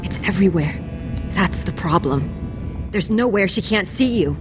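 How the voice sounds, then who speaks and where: Low-voiced jaded streetwalker. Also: female voice, lively intonation, measured pace. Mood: wary. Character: jaded female dwarf beggar streetwalker. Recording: game music behind the voice.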